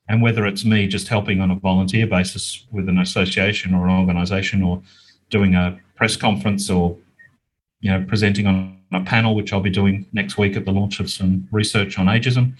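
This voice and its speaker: A man's voice: low voice, slightly rough, rough recording. A middle-aged Australian man answering interview questions in an earnest, plain-spoken, conversational way. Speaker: interview guest, small business owner.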